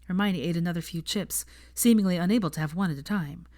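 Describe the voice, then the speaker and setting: A woman reading fan fiction aloud as the narrator, in a steady storytelling manner. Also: fairly low pitch, quick pace, dry recording, clean studio sound. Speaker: fanfiction narrator. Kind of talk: scripted narration.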